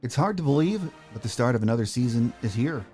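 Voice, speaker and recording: A man's voice: mid-range voice, animated, fast talker, dark tone, faint music behind. An American man hosting a sports podcast, delivering scripted introductions and interview questions.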